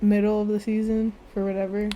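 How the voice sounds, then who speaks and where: A woman with a medium-pitched voice co-hosts a casual podcast. She chimes in with short, blunt remarks and crude jokes. Her talk is unscripted and sweary. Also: monotone, fairly quick, muffled recording, crowd noise. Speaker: podcast co-host. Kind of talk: casual chat, short interjections.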